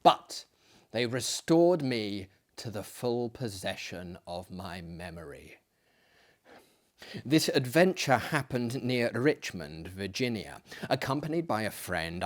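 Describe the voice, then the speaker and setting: A man with a mid-pitched voice delivers in a hammy, theatrical manner. His pitch roams widely and he takes his time, swelling grandly and then sinking into hushes. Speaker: reader and host of dramatic readings. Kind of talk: theatrical dramatic reading of a gothic story.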